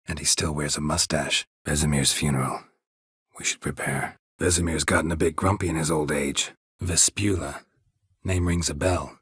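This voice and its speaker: An actor playing a gruff, weary, stoic monster hunter in a video game, a gravelly voice and a terse, deadpan delivery. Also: male voice, moderate pace, no echo, exaggerated voice. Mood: matter-of-fact, grim. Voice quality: husky, gravelly low voice. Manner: terse, clipped, deadpan, dry. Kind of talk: video game character dialogue.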